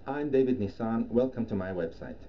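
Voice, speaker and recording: A middle-aged man from Iran, a native Persian speaker, giving a formal, measured scripted presentation as a professional lecturer. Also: medium pitch. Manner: formal, measured, deliberate phrasing. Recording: dull lo-fi sound, clear background noise.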